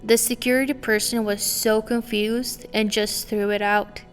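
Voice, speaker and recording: A woman in her twenties, born in Romania and a native Romanian speaker, calmly reading her own story as scripted narration. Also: a little high, measured pace. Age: 20s. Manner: calm, reflective, read aloud line by line. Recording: long reverb with faint music behind.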